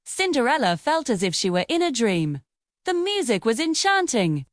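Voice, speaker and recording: A woman telling a children's fairy tale with an expressive, sing-song delivery. Her pitch sits a little high and swoops widely, and the recording is close and dry. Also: normal speed, no room sound. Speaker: storyteller.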